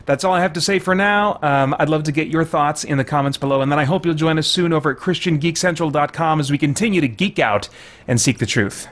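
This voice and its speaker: A man's voice: a little high, fast, a bit bright. A man giving a casual video game review as host, thinking aloud and qualifying his points in a conversational way. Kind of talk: casual spoken review commentary.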